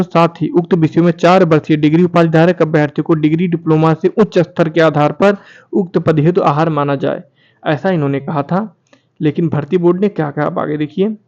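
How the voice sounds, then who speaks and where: A man's voice: a little high, a little mellow. A man hosting an informal online explainer, reading documents aloud and repeating key phrases as he addresses viewers directly, on a muffled recording. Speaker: YouTube channel host presenting documents. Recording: dull, muffled lo-fi sound.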